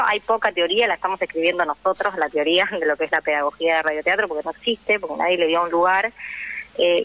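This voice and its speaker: A woman's voice: fairly low pitch, a bit bright, rough recording. A young woman with a Rioplatense Argentine accent, explaining things emphatically in a conversational radio interview, often checking in with her listener. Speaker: radio guest, actress and drama teacher.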